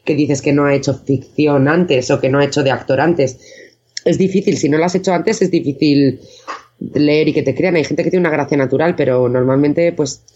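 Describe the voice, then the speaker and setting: Low-voiced woman, dull lo-fi sound. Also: dark tone. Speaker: interview guest, audio fiction maker. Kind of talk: thoughtful interview talk.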